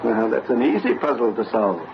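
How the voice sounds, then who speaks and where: An actor playing a courtly, enigmatic older father, speaking in a measured, formal way in an old-time radio drama. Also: medium pitch, sing-song, creaky. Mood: genial, mysterious. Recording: muffled, noisy old radio recording.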